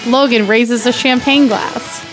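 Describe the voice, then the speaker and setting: A woman's voice: a little high, steady tone, a little slow, music in the background, rough recording. A woman narrating screenplay stage directions at an informal comedy table read. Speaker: guest reader of stage directions.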